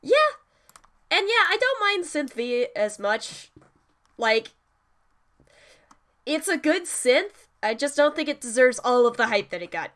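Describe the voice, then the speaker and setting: A woman's voice: sits high, sing-song, unhurried, long pauses, fairly bright tone. A woman with a stuffy cold, chatting casually through a screen-share tutorial on tuning a vocal synth, with laughing, mildly swearing asides. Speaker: music producer teaching vocal synth tuning.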